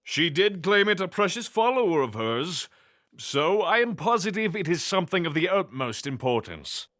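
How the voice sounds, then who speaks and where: A man's voice: high-pitched, very animated, normal speed, fairly bright tone. A man playing a curious, courteous god in a fantasy audio drama, speaking with polite, precise understatement. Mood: concerned.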